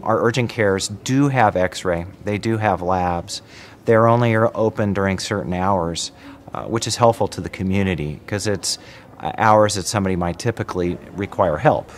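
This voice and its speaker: A man speaking as an emergency doctor, explaining in a measured, matter-of-fact way in an interview. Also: sits low, slight background noise.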